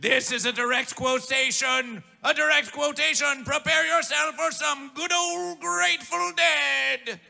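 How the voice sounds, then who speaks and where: A man working as a festival master of ceremonies, making bombastic, mock-ceremonial announcements in a gravelly voice and chanting repeated phrases. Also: extremely high pitch, steady tone, unhurried, hardly any pauses, bright, forceful tone. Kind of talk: theatrical chanted stage announcements. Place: live music festival stage. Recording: music behind the voice. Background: music.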